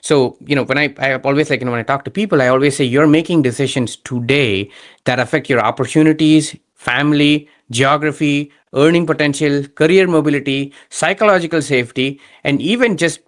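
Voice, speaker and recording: A man's voice: fairly high pitch, a little fast. A man speaking as an interview guest, explaining his views conversationally and emphatically, often checking in with the listener. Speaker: podcast guest, entrepreneur and immigration strategist.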